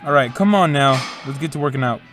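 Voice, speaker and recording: A man's voice: mid-range voice, quick pace, fairly bright tone, soft background music, natural voice. A man playing a big, goofy, boastful young friend in an audio drama. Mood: eager. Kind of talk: audio fiction dialogue.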